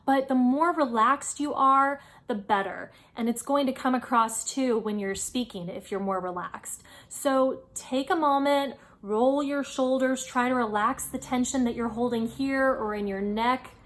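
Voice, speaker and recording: A woman hosting an informal advice video, explaining things in a conversational, encouraging way. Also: fairly high pitch. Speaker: host giving career advice. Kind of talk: informal instructional video talk.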